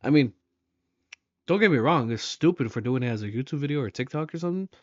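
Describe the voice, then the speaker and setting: A man's voice: mid-range voice, lively intonation, fast, dry recording. A man giving casual, slangy reaction commentary, incredulous and prone to swearing. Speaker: reaction video host. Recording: dry, slightly dull microphone sound.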